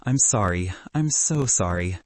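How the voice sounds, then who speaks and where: A man voicing a torn, remorseful young woman in an audiobook, halting and earnest. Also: sits low, lively intonation, dry recording.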